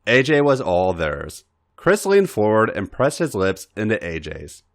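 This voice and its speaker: A man narrates a piece of adult fiction in a slightly low voice. His delivery is theatrical and swings widely in pitch, as he acts out the dialogue. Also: very animated, a little slow, a little hiss or hum. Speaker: podcast reader.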